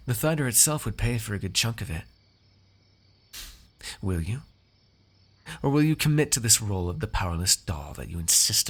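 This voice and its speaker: A man's voice: fairly low pitch, fairly bright tone, husky, heavily acted. A man playing a haughty, teasing, possessive noble master in an ASMR roleplay, with formal, drawling, condescending theatrical diction. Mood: coolly mocking.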